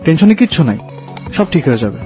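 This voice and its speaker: A young husband and father in an audio drama. He sounds caring and soothing, holding worry under a steady manner. The audio is a little dull, with faint music behind him. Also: on the high side, fast talker. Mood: reassuring. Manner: soothing, reassuring.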